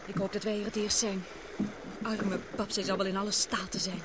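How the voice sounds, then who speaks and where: A woman's voice: mid-range voice, little pitch movement, fairly quick, lightly acted. An actress playing an anxious, sensitive young woman fleeing an island, in an earnest, dramatic Dutch radio play style. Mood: troubled, awed. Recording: noisy old radio drama recording.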